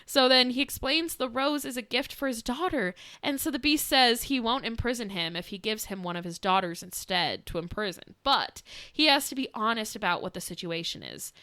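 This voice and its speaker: A woman's voice: on the high side, lively intonation, moderate pace, hardly any pauses, bright, forceful tone, smooth, dry recording, studio quality. Giggly young woman retelling a story. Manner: giggly, sarcastic, acts out quotes in voices. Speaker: podcast co-host. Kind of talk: casual storytelling chat.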